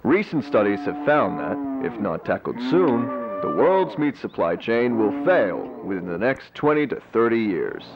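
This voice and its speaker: Man acting as animated corporate pitchman, muffled over music. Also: a little slow, few pauses. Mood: persuasive. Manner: polished, persuasive. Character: smooth-talking corporate pitchman for a meat substitute. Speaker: advertising narrator. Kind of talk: scripted infomercial narration. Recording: dull lo-fi video audio over music.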